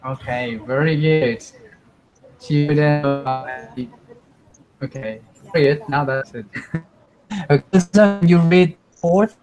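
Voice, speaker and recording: Man, online English teacher, slow, patient, repeats himself, laptop mic. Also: on the high side, darkish tone, faint music behind, rough recording. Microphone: computer mic on a video call.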